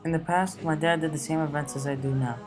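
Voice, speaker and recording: A teenage boy from the United States reading his school essay aloud in a steady, plain way. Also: fairly high pitch, quick pace, music in the background. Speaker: student.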